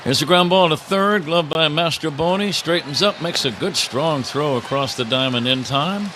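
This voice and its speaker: A man's voice: a little high, normal speed, background noise. A man calling a baseball game live on the radio as the play-by-play announcer, with the ballpark behind him. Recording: steady ballpark ambience behind the voice. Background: outdoor ballpark ambience.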